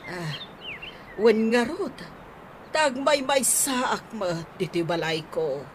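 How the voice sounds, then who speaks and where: Woman doing hospitable ilocano auntie, slow and swoopy, highish voice, noisy radio drama track. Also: sing-song. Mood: welcoming. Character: hospitable auntie, fond of treats and outings. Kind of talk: radio comedy drama acting.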